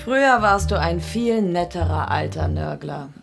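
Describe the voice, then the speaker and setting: A woman's voice: fairly low pitch, animated, measured pace, vocal fry, over music. An actress playing a cool, insistent woman client in a hardboiled radio drama, terse and unflappable. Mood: matter-of-fact.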